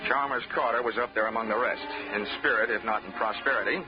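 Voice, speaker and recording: An American actor playing a wry, hard-boiled investigator in a 1940s radio drama, full of dry wisecracks. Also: male voice, lowish voice, fairly even intonation, fast talker, natural voice. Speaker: detective narrator and lead character. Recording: dull 1940s radio recording with music.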